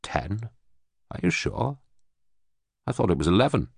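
A man's voice: on the low side, big pitch swings, unhurried, creaky, breathy edge, dry recording, clean studio sound, pitched above the performer's own voice, exaggerated voice. A man voicing a frightened, bitter former official in an audiobook, pleading and stammering nervously. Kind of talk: audiobook character voice.

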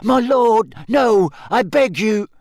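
Audiobook role: small cringing henchman, breathless pleading, pitched high, dry sound. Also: slow pace, a little mellow, dry recording. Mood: terrified, grovelling. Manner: gasping, pleading, grovelling. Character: cringing, fearful short balding servant man.

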